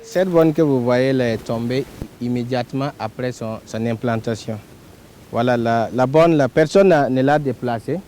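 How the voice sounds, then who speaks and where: A man with a Burkinabe accent explains something on site outdoors. His voice is mellow and halting, with some rumble and vehicle noise behind it. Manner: halting, self-correcting. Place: outdoors along a rural track.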